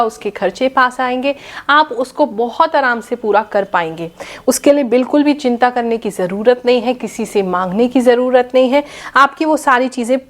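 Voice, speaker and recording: Woman astrologer, slightly hoarse from a cold, fairly high voice, instructive, speaks straight to viewers. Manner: instructive, addresses viewers directly. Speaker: astrologer and spiritual guide. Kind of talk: festival horoscope and ritual advice to viewers.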